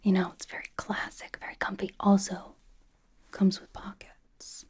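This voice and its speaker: A woman voicing a young female K-pop idol who is dryly doting and comfort-obsessed, over-explaining playfully in a hushed, casual ASMR roleplay whisper. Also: mid-range voice, completely flat delivery, unhurried, husky. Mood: cozy, doting.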